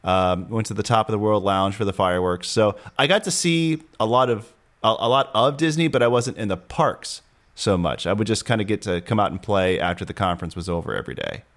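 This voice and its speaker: A man's voice: on the low side, lively intonation, brisk, a bit bright. A man hosting a casual podcast, chatty and rambling with fillers and restarts. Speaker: podcast host.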